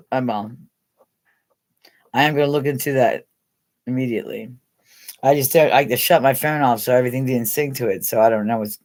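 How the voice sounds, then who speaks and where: Middle-aged American woman, chatty livestream host, rambling and giggly. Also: very deep voice. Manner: rambling, chatty, laughs often, talks straight to viewers by name. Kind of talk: casual live chat with viewers.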